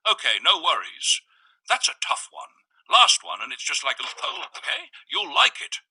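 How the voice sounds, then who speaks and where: Cheery, unhinged young man, as a male narrator puts him on; high sing-song voice, sharp and bouncy. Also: wildly swooping pitch, normal speed, creaky, slightly rough, full character voice, low-quality recording. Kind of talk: storybook character voice.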